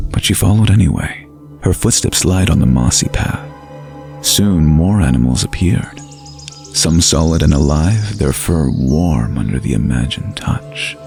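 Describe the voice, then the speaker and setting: A man narrating a scripted fantasy bedtime story in a measured, atmospheric, dramatic way. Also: rumbling low, gravelly, darkish tone.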